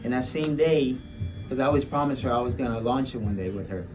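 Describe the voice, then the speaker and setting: A man's voice: mid-range voice, fast. Distant-sounding man chatting over music. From Puerto Rico, later urban Florida. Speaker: musician being interviewed. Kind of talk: casual interview storytelling with street slang.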